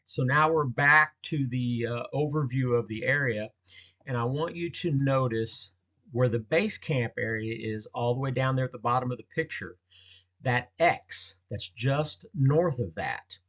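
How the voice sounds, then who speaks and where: Man, dull lo-fi recording, mid-pitched voice, folksy halting storyteller, casual slideshow narration. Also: a bit bright. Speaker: presenter recounting a field experience. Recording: dull lo-fi audio.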